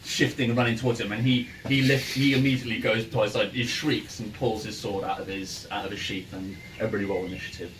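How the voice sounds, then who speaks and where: A man with a lowish voice narrates a tabletop game as its game master. His delivery is hesitant and stop-start, as he improvises the scene. He sits away from the microphone, with clear noise around him. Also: fairly even intonation, fast talker, few pauses, reverberant. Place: in-person game room with ambient sound. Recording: noisy room, distant microphone.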